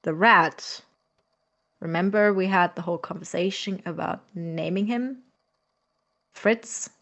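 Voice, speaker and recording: An anxious young lab partner in an audio drama, speaking in an everyday, natural way at a middling pitch. The delivery is earnest and wary, with a sardonic edge. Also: slow pace, creaky, a bit bright, exaggerated voice. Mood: giddy, wired. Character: anxious, suspicious young roommate Christopher, grave-robbing lab partner.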